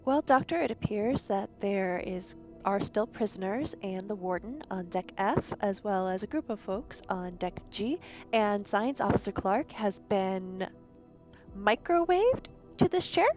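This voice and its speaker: A woman playing a polite female synthetic scientist in a tabletop game, measured, formal and dryly deadpan. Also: medium pitch, lo-fi sound, faint music behind, lightly acted. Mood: matter-of-fact.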